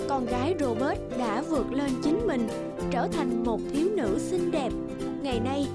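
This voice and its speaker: A woman narrating in a calm storybook manner, her voice pitched quite high. Loud music plays beneath her. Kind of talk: scripted narration.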